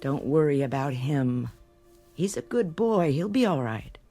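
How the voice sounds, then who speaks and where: An actress playing a world-weary landlady in an audio drama, blunt and dry, scolding but with a soft spot underneath. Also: sits low, big pitch swings, unhurried, faint music behind, heavily acted. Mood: weary, concerned.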